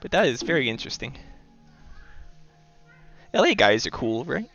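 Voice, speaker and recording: Gravelly-voiced man streaming a board game. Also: mid-range voice, moderate pace, muffled recording, faint music behind. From US East Coast. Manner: relaxed, talks through his moves and reads chat aloud. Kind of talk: casual commentary while playing.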